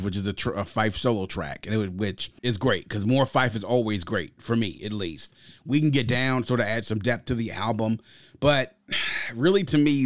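A man's voice: on the low side, no echo. Enthusiastic male music podcast host, dry close sound. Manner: opinionated, emphatic, slangy. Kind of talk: casual enthusiastic album commentary.

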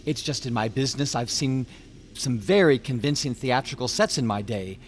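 A man's voice: mid-range voice, moderate pace, slightly rough, lightly acted. An actor playing a polite, skeptical man of show business in a radio drama, hesitant and inquisitive. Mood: doubtful, hesitant. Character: polite, skeptical showman listening to an explorer's tale, a man.

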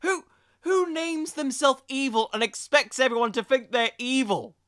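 A man's voice: very high-pitched, animated, moderate pace, a bit bright, clear, resonant tone, no room sound, clean studio sound. A young man hosting an informal solo podcast, rambling along in a jokey, self-deprecating way. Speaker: podcast host.

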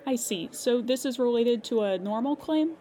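A woman's voice: on the high side, moderate pace, a faint crowd, natural voice. A woman acting as a weary but patient emergency hotline operator in an audio comedy drama, polite and dryly professional. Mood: calm, polite. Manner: calm customer-service politeness with dry exasperation.

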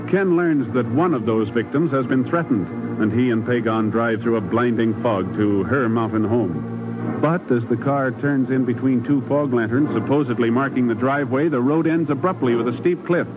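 A man's voice: mid-range voice, moderate pace, music in the background. A man delivering scripted announcements and narration as a 1950s radio announcer, formal and earnest. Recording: dull vintage radio recording.

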